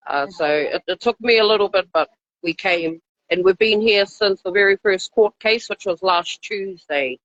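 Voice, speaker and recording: A woman's voice: medium pitch, no echo. A woman with a New Zealand accent giving an earnest, informal live interview as an activist. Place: outside a hospital, live call. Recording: dull lo-fi live stream audio.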